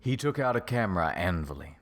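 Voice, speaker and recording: A man's voice: deep voice, big pitch swings, fast. A man narrating a comic story in a mock-serious, theatrical way. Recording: music behind the voice. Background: music.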